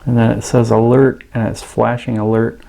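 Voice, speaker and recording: A man's voice: mid-range voice, little pitch movement, moderate pace, vocal fry, mellow, a little hiss or hum, poor audio. An American man giving a casual, unscripted product review, hesitant and thinking aloud as he goes. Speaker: radio reviewer.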